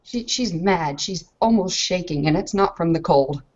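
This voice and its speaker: A woman's voice: fairly low pitch, normal speed, darkish tone, muffled recording. A woman narrating her character's actions as a player in a tabletop roleplaying game.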